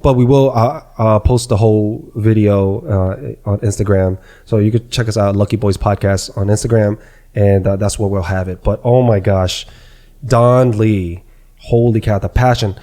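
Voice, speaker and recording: A man hosting a podcast in a casual, excited way, often repeating himself for emphasis. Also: lowish voice, moderate pace. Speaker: podcast host.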